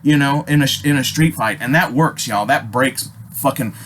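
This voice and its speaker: A man's voice: medium pitch, normal speed, a little hiss or hum. An American man telling a personal story casually, rambling and emphatic, swearing heavily with abrupt stops and repeats. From Alaska, United States.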